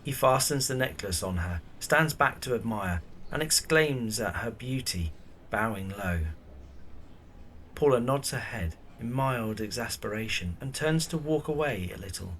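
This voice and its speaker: A man narrates an audio drama from a script. His voice is medium in pitch, with faint noise behind it. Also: expressive, measured pace, fairly bright tone.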